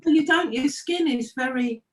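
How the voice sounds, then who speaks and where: A woman's voice: high-pitched, moderate pace. A woman talking as a guest in an interview, chatty and earnest, rambling from one thought to the next. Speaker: guest, trance medium. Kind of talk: casual interview chat.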